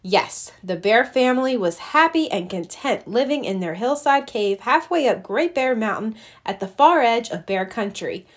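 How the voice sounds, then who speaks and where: A woman reading a children's storybook aloud as narrator, expressive and deliberate. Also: a little high.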